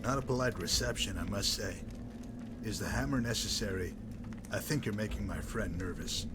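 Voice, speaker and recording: A man playing a cold, cynical former pit fighter and gang enforcer in a video game, speaking in a calm, wry, articulate and menacing way. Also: lowish voice, normal speed, very noisy, lightly acted. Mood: mocking menace. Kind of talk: video game character dialogue.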